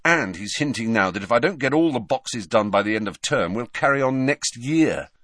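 A man's voice: medium pitch, expressive, moderate pace, a bit bright, no echo, clean studio sound. A man voicing an earnest, inquisitive teenage schoolboy in an audiobook, with an urgent, questioning manner. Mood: weary.

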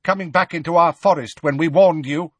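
A man's voice: on the high side, fairly quick, slightly rough, no room sound, pitched above the performer's own voice. A man voicing a haughty male centaur who speaks with cold, formal contempt for humans. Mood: hostile warning.

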